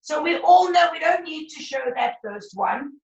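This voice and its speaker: A woman maths teacher, teaching a class over a video call in a brisk, encouraging way, her voice croaky. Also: high-pitched, animated, highs cut off. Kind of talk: online class lesson. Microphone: laptop video call. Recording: roomy far-off video call audio.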